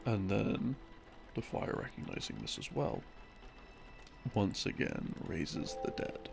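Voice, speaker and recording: A man telling lore as a soft, rambling sleep-aid story, breathy and often sinking into a murmur, in halting short phrases. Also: low voice, wildly swooping pitch. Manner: halting, broken into short phrases with long pauses. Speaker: audio roleplay narrator, playing a boyfriend explaining game lore. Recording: music behind the voice. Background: soft background music.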